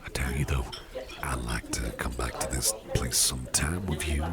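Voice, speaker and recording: A man playing an attentive, courteous businessman boss in a soft ASMR roleplay, speaking in a breathy near-whisper with a gentle, reassuring manner. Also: rumbling low, fairly even intonation, moderate pace, loud music behind the voice. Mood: tender, appreciative.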